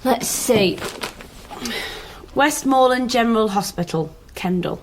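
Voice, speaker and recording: An actress playing a blunt, sardonic younger sister with a British accent in a naturalistic radio drama. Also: female voice, a little high, measured pace, background noise. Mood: dry, businesslike. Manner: dry, flippant, brusque.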